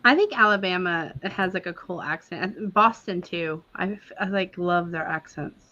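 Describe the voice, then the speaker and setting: Bright-voiced woman chatting casually. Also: fairly low pitch, moderate pace, lo-fi sound. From Texas. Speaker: livestream panelist. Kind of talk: casual chat.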